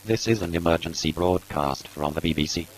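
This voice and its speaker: A man's voice: deep voice, a little fast, close to an everyday voice. A man voicing a grave, formal television announcer reading a scripted emergency broadcast. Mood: solemn. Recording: clearly noisy recording.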